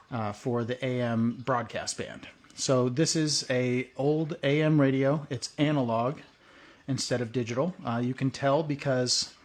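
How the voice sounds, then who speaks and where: A man talks through a workbench project in a relaxed, rambling tutorial voice, scattering "uh" and "um" as he goes. His voice sits in an ordinary middle pitch. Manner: loose and rambling, many "uh" and "um". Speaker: prepping channel host. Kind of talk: casual hands-on tutorial.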